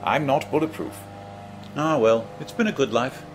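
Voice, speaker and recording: An actor playing an eccentric, flippant time-travelling alien adventurer, whimsical, wry and fussily precise, in an audio drama. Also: male voice, medium pitch, moderate pace, background noise, lightly acted. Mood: wry, musing.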